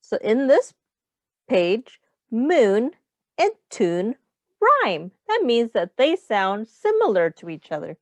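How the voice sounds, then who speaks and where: A woman reads a picture book to young children like a teacher, with a highly animated, swooping pitch. She takes her time, explaining in simple phrases and putting questions to the listener. The sound is close and dry. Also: slow pace, dry recording.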